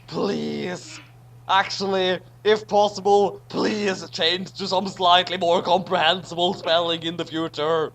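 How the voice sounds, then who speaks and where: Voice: medium pitch, little pitch movement, a little slow, a bit bright, slight background noise. A person doing a comedic dramatic reading of a garbled fan story as host, in a theatrical, mock-outraged manner. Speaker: host and reader. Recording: music playing behind. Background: music.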